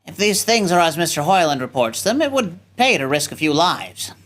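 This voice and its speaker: Man playing an authoritative captain, animated intonation. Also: on the high side, moderate pace. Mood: deliberating. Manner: formal, authoritative. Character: measured, authoritative ship's captain weighing a risky mission. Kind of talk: radio play cold read.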